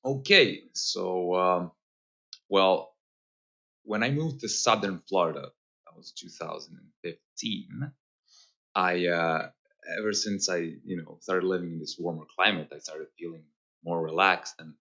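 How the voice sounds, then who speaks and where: Low-pitched Serbian man, slightly muffled. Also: measured pace, pushed and bright. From Serbia, Novi Sad. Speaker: podcast guest, holistic guide. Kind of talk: casual conversational interview.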